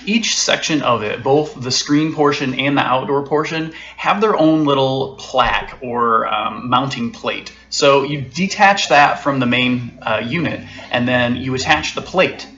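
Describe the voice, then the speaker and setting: Bright-voiced man casually reviewing gadgets. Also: medium pitch, a little slow, hardly any pauses, highs cut off. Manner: relaxed, loose with fillers and restarts. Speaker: tech reviewer.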